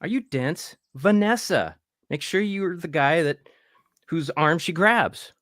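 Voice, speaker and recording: Man reading as cocky young camper, higher-pitched, dry. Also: expressive, takes it slowly, a bit bright, no room sound. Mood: scheming. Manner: brash, pushy, scheming. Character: cocky young male camper egging friends on. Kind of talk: live table read of a horror script.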